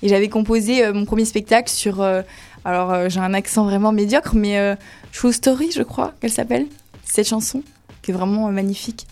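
A woman's voice: mid-range voice, brisk. A woman who works as a cabaret dancer, chatty and explaining her daily routine in detail. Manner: lively, talkative explainer. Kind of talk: explaining her work in an interview.

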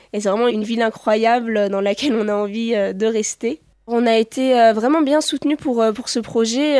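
A woman's voice: a little high, darkish tone, clear, resonant tone, dry recording. A young woman, a student researcher, giving spontaneous, hesitant explanatory answers in an interview.

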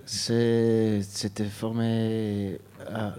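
Man, musician, low-pitched and unhurried, halting delivery. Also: flat delivery, dark tone, clean tone. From Switzerland. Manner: halting, with many fillers and restarts. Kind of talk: casual interview answers.

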